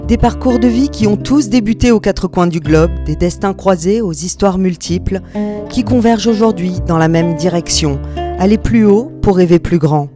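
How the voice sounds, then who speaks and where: A woman reading a scripted corporate voice-over in an earnest, measured way. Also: mid-range voice. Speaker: narrator. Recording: narration over loud guitar music.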